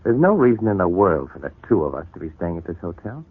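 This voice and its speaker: Guilt-ridden husband, vintage radio serial. Deep, deliberate, tender, narrow-band old recording. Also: male voice, very animated, breathy edge, dry recording, exaggerated voice. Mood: earnest, pressing. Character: troubled, guilt-ridden, grateful husband and father. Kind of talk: 1940s radio soap opera acting. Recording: muffled, narrow 1940s radio broadcast recording.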